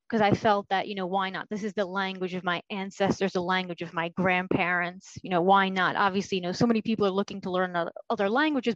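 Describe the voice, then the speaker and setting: A woman's voice: mid-range voice, no room sound, dull audio. A woman on a podcast, explaining her thoughts carefully in a casual chat.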